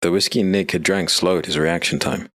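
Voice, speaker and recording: A man narrating a scripted dark fantasy story as an audiobook narrator. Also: low-pitched, steady tone, moderate pace, no echo.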